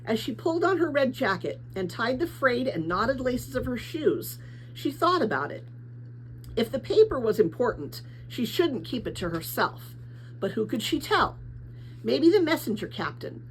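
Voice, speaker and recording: Woman reading a kids book out loud, highish voice, super expressive, bit of noise behind her. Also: normal speed, rough recording. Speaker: storybook reader. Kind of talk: expressive read-aloud narration of a children's novel.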